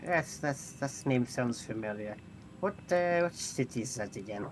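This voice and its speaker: A man's voice: mid-range voice, animated, normal speed, close to an everyday voice. Man playing a haughty nonhuman construct, noisy recording. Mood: curious. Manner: condescending, theatrical, hesitant phrasing. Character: haughty, pragmatic nonhuman mechanical adventurer, amused by mortals. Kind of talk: in-character tabletop roleplay.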